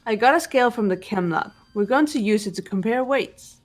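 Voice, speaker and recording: A woman playing a cool, analytical teenage girl detective in a game voice-over, speaking in a measured, serious way. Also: a little high, animated. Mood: businesslike. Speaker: amateur voice actor. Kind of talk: fan voice-over of a visual novel game. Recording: game music under the voice.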